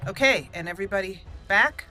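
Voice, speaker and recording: A young woman hosting a let's-play, chatting excitedly through a battle and roleplaying dialogue. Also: medium pitch, brisk, over music. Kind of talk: casual game commentary and roleplay.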